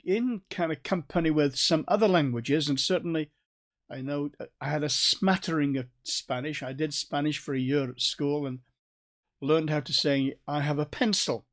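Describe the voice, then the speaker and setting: A man with a North Staffordshire accent giving a recorded Bible study in a conversational way, with restarts and gentle asides. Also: a little high, moderate pace. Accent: North Staffordshire English. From England. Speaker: Bible teacher.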